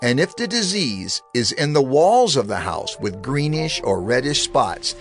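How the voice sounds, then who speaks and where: A male narrator reads scripture aloud in a steady, reverent tone. His mid-range voice moves through a lively, expressive melody. Soft music hums faintly in the background. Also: medium pitch, measured pace.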